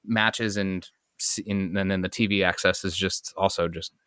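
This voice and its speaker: A man's voice: low-pitched, steady tone, moderate pace, fairly bright tone, smooth, no room sound, studio quality. An American man hosting a casual podcast conversation, talking in a rambling, enthusiastic way. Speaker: podcast host.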